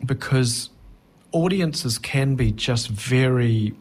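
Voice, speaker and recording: A middle-aged man talking reflectively as an interview guest, thoughtful and halting, often rephrasing mid-sentence. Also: medium pitch, darkish tone. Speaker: touring stand-up comedian, interview guest.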